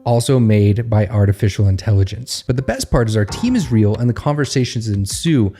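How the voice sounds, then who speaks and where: A man's voice: fairly low pitch, animated, brisk, warm tone, soft background music. A man hosting and narrating a fictional case study in a scripted storytelling style. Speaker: host and narrator.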